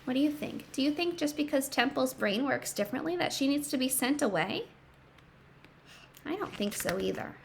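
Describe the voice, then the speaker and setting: A woman reading a picture book aloud to children in an expressive, emphatic way, pausing to explain and ask questions. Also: sits high, creaky, slight background noise.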